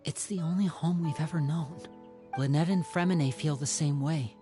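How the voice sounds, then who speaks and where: An actor as a devoted young son in a video game, mid-pitched and youthful. He speaks earnestly and respectfully, with soft self-doubt and loyalty, over clear background music. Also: normal speed, close to an everyday voice. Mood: respectful, subdued. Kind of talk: scripted video game dialogue.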